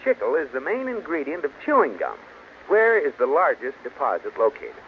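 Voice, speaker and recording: An actor playing an exasperated, wry American husband who grumbles sarcastically in an old-time radio comedy. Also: male voice, a little high, wildly swooping pitch, normal speed, phone-quality sound. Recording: thin, noisy old radio recording.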